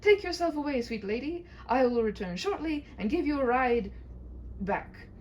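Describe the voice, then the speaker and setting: A woman's voice: high voice, expressive, moderate pace, dull audio, voice pushed up. A woman voicing a gallant, reasonable male knight in a humorous fairy-tale read-aloud, speaking with earnest courtliness. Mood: gallant, resolute.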